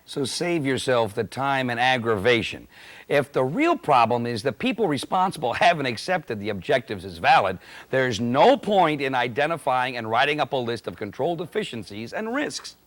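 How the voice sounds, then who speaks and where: A man's voice: mid-range voice, very animated, normal speed, a bit bright. A man acting as a plain-spoken, emphatic presenter, giving scripted instruction in a corporate training film. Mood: emphatic, earnest.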